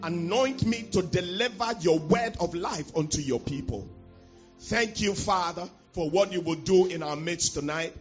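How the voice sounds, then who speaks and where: A man preaching a fervent sermon, sounding nasal from a cold, pausing line by line for his interpreter. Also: high voice. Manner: fervent, call-and-response pacing. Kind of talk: sermon with prayer and scripture reading. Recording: dull lo-fi church recording with faint music.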